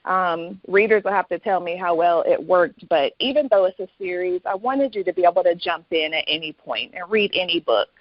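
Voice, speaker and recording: Low-voiced woman, casual author interview. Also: fairly quick, few pauses, dry recording, muffled recording. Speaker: guest author.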